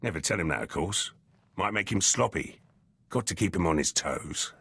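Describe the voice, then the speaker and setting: An actor voicing a gruff, battle-hardened soldier in a video game, terse and blunt, speaking in clipped sentences. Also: male voice, low voice, lively intonation, moderate pace, fairly bright tone, no room sound, heavily acted. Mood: gruff, eager. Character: gruff, battle-hardened male military commando, loyal and brash.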